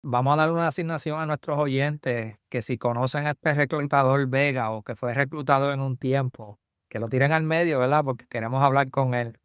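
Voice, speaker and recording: A man's voice: mid-range voice, steady tone, fairly quick, few pauses, clean tone, dry recording, studio quality. A man hosting a podcast, asking his guest friendly, direct questions. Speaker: podcast host. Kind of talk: conversational interviewing.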